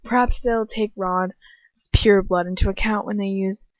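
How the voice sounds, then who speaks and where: A woman's voice: medium pitch, fairly even intonation, normal speed, dry recording. Woman reading casually on a dull lo-fi recording. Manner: stumbling over words, self-deprecating. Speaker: amateur fan fiction reader. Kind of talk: casual read-aloud with chatty asides.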